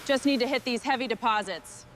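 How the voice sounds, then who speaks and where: A focused female crew boss acted by a woman gives short, instructing orders in a workshop drama. Her voice sits fairly high, and clear machinery noise runs behind it. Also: a little fast, fairly bright tone, natural voice. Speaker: crew leader in a truck restoration.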